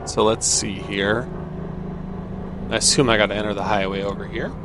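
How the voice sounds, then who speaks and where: A man's voice: fairly low pitch, fairly even intonation, normal speed, background noise. A man giving casual game commentary, thinking aloud in short phrases. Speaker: gameplay streamer.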